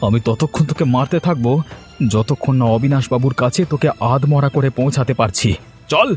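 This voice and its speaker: A man's voice: medium pitch, a little fast, a little mellow, soft background music, natural voice. A man playing an earnest, wronged and hot-headed villager in a cartoon story, speaking emphatically. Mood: angry, threatening. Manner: emphatic, declamatory. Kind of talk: Bengali cartoon story acting.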